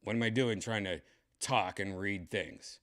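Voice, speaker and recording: A tipsy man with a raspy, dry-throated voice, chatting casually as a comedian hosting his livestream, rambling and clearing his throat often. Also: fairly low pitch, unhurried, fairly bright tone. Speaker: comedian streaming host.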